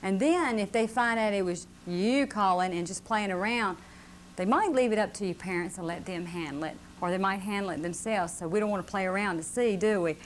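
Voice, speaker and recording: A woman's voice: mid-range voice, fairly quick. A woman giving a simple safety lesson to a live group of children, checking with questions as she explains. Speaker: fire safety educator. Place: live puppet show presentation. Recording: faint noise on VHS tape.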